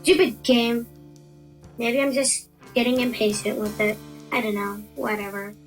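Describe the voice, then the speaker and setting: A woman's voice: fairly high pitch, little pitch movement, measured pace, faint music behind, rough recording. A female performer playing a lonely, impatient little girl in an audio drama. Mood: disappointed.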